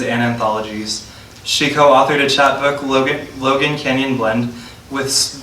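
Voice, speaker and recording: Echoey man reading an introduction, bright voice. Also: medium pitch. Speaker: host introducing a featured poet. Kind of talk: reading a scripted introduction aloud. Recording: roomy, distant mic, faint noise.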